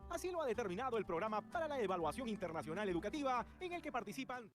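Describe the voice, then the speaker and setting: A man's voice: sits high, very animated, very fast. A man narrating a news report. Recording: broadcast clip with music behind.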